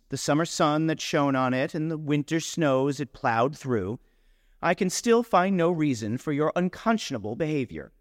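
A man's voice: on the high side, dry recording, studio quality, long reverb tail, pitched above the performer's own voice. A man voicing a self-righteous, wounded young man in a short story, declaiming a letter in a pompous, indignant, oratorical manner.

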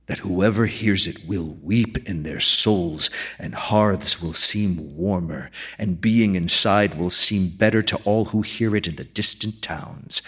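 A man voicing a lonely, solemn inventor from a legend in a story reading, with mournful, incantatory, drawn-out phrasing. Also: fairly low pitch, a bit bright, breathy edge, pitched below the performer's own voice, heavily acted.

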